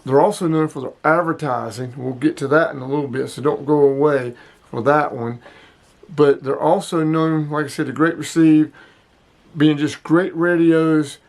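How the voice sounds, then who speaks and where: A man's voice: fairly high pitch, steady tone, normal speed. A man in his sixties hosting a casual hobby product showcase, speaking emphatically and repeating himself to drive points home. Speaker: host of a hobby radio video.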